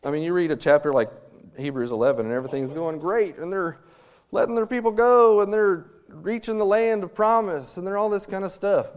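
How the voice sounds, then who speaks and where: A man preaching a sermon as a missionary, animated and acting out little scenes with humor. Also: a little high. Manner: animated, acts out quotes with humor.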